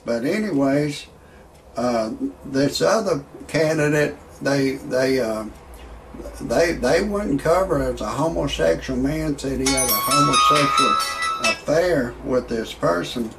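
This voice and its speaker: A man in his sixties talking in a rambling monologue with stand-up style jokes, halting often on fillers and restarts. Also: mid-range voice, lively intonation, vocal fry, over music, low-quality recording. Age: 60s. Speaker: amateur online show host.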